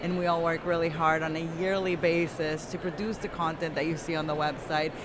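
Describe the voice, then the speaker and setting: A woman's voice: low voice, flat delivery, a little fast. A woman chatting casually as an interviewer. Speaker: interviewer, conference volunteer. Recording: clear urban background noise.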